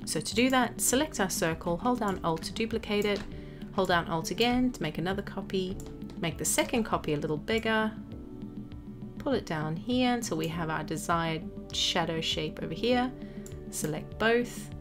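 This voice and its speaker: A woman narrating a step-by-step software tutorial as an instructor, explaining each action as she goes. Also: medium pitch, expressive, normal speed. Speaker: graphic design tutorial instructor. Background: background music.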